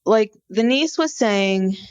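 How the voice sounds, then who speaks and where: A woman co-hosting a podcast, explaining a case conversationally in an informal, halting storytelling way. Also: medium pitch, lively intonation, clear, resonant tone, dry recording. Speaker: podcast co-host. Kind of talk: conversational true-crime case discussion.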